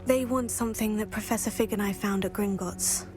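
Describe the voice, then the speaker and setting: A woman's voice: mid-range voice, fairly quick, lightly acted. An actress playing a polite, composed young female student in a video game. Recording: game audio with faint music.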